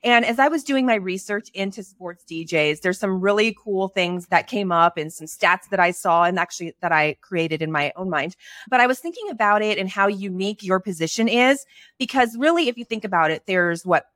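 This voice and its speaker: A woman's voice: medium pitch, fairly quick, fairly bright tone, dry recording, studio quality. A woman hosting a podcast in casual chat, with an upbeat, sing-song delivery. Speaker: podcast host.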